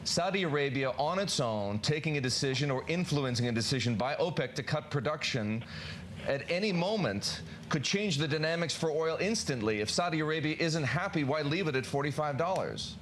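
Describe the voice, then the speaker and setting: A man's voice: on the high side, expressive, a little fast, a bit bright, slight background noise. A man working as a news interviewer, putting formal, probing questions in a broadcast style.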